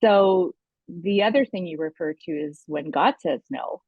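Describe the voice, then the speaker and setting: A woman's voice: on the low side, measured pace, breathy edge, no room sound. A woman hosting a conversational interview, affirming and attentive to her guest, heard over a thin line. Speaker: podcast host, interviewer. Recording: thin phone-like line, dry.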